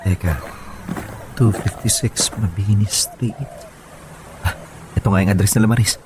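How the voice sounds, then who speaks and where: A man's voice: on the low side, fairly even intonation, measured pace, noisy background, natural voice. A man acting a smitten, evasive young man in his twenties in a Tagalog radio drama. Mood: casual. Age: young adult. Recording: music behind the voice.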